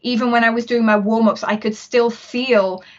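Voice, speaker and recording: Chatty higher-voiced woman on a dull call line. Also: fairly even intonation, normal speed. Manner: chatty, upbeat, storytelling with fillers. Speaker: musician and interview guest. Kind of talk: casual video-call interview.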